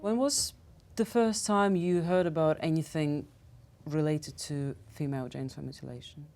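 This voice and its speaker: A woman interviewer asking short questions in a plain, measured way. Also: low-pitched, fairly even intonation.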